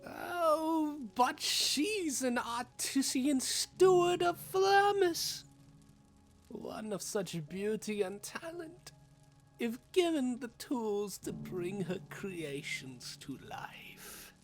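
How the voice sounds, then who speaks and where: A woman voicing a sly creature of the night in a fantasy audio roleplay, theatrical and mockingly reverent, dropping into breathy tones. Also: high-pitched, very animated, extremely slow, soft background music, full character voice. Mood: sly, silky.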